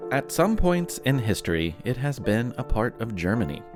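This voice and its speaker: A man's voice: mid-range voice, big pitch swings, moderate pace, over music. A man hosting a children's show, narrating from a script in a playful, theatrical way with wry asides. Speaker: podcast host.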